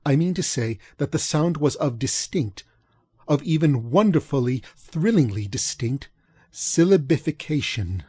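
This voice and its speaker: A man narrating a gothic horror audiobook in a measured, formal, deliberate manner. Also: fairly high pitch, expressive, darkish tone, slightly rough, dry recording, studio quality.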